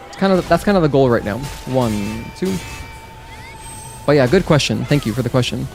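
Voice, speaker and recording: A man whose first language is Spanish chats with his viewers while he plays. His voice sits at a middle pitch, and he fills his easygoing talk with "like." Soft game music plays underneath. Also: lively intonation, moderate pace, gravelly. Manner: friendly, chatty, many "like" fillers. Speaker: gaming livestreamer. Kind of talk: casual live-stream chat while playing.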